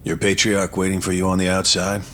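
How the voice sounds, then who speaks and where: A man's voice: deep voice, monotone, fairly quick, slight background noise, lightly acted. A man playing a stern but caring prison guard in a video game drama, admonishing and matter-of-fact. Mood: weary reproach.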